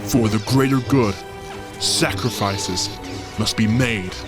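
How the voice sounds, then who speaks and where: A man's voice: lowish voice, slow pace, over music. Man acting a menacing, grandiose Sith lord. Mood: commanding, tempting. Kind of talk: fan audio drama acting.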